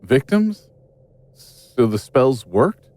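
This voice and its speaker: A man's voice: medium pitch, big pitch swings, drawn-out words, a little mellow, dry recording. A man playing a troubled, self-destructive investigator, wary and wounded, in an audio drama. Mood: questioning.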